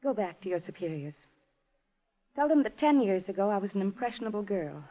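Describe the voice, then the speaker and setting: Woman playing a proper lady in an old muffled radio play, plaintive, guarded, very dignified, medium pitch. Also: very animated, fairly quick, close to an everyday voice. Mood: defiant. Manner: formal, dignified, old-fashioned inflection. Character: refined, guarded married lady, sensitive former Communist, proud. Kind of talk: 1950s American radio drama acting.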